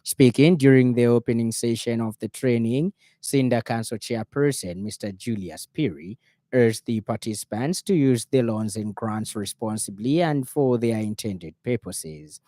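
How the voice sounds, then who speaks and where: A man with a Zambian accent reading an evening radio news bulletin in a formal, measured way. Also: mid-range voice, mellow, no room sound, clean studio sound. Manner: formal, measured newsreader delivery. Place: radio studio.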